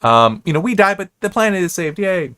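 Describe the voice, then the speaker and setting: An American man giving casual, unscripted commentary as a reviewer, halting and correcting himself as he rambles. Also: high-pitched, big pitch swings, pushed and bright, no echo, studio quality. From United States. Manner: halting, self-correcting, restarts and digresses often. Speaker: reviewer hosting an episode-by-episode analysis.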